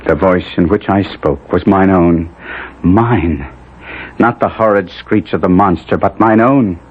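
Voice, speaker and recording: A man playing a passionate young nobleman in a gothic 1970s radio drama, narrating his tale in halting, archaic, intense speech. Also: low-pitched, slightly rough. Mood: weak, urgent. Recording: muffled 1970s radio recording, music behind.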